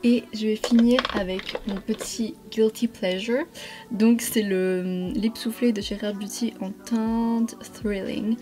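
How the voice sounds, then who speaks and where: A woman's voice: mid-range voice, steady tone, takes it slowly, over music. A young woman chatting casually to followers like a vlogger, in an informal, slangy, rambling way with self-corrections. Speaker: beauty and lifestyle vlogger. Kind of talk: casual chat to followers while doing makeup.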